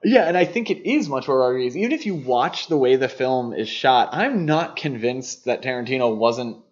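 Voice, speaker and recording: A man's voice: medium pitch, animated, moderate pace. A man co-hosting a podcast, discussing film casually in an opinionated, conversational way. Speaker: podcast co-host. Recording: dull, muffled lo-fi podcast audio.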